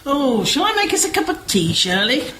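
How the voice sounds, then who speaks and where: A woman's voice: sits high, very animated, normal speed, heavy background noise. A woman acting a chatty, concerned village sister in an amateur radio play, gossipy and persuasive. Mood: light, cheerful.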